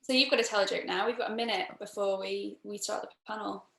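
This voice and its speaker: A woman's voice: medium pitch, fairly even intonation, fast talker, creaky, a bit bright, reverberant. A woman from Northern England with a Northern English accent, chatting cheerfully on a live video call as an inventor and co-host. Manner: cheerful, jokey, greets viewers by name. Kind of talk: casual chat on a live video stream. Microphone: computer mic on a video call.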